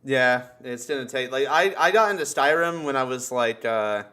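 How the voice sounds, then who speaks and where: A man's voice: medium pitch, lively intonation, moderate pace, sharp tone. A man chatting casually as a podcast host, joking around and swearing freely. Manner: profane, joking. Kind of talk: casual chat.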